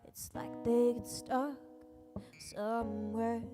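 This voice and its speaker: A woman singer-songwriter singing her own song slowly to guitar. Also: on the high side, flat delivery. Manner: sung slowly and drawn out. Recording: loud guitar accompaniment.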